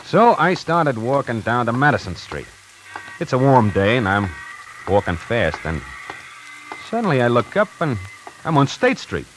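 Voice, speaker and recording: A man's voice: mid-range voice, very animated, moderate pace, long pauses, a bit bright, slight background noise, poor audio. An actor playing a wisecracking necktie salesman who narrates his own story in a 1940s radio drama, hardboiled and slangy. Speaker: narrator and lead character. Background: music.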